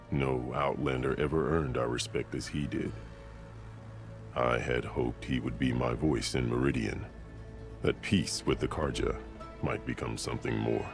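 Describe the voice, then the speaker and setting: Very deep gravelly warrior chief. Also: male voice, exaggerated voice. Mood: grave, proud. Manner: solemn, formal, measured, declarative. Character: stern, proud, commanding warrior chief of a tribe. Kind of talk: dramatic video game acting. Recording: loud music behind the voice.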